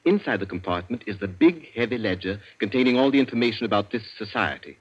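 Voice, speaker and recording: Brief: sly, urbane captive inventor, husky and drawling, old lo-fi radio sound. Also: male voice, lowish voice, expressive. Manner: drawling, mocking, unhurried. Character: smug, urbane male inventor held captive. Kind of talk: 1940s radio acting. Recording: dull lo-fi vintage radio recording.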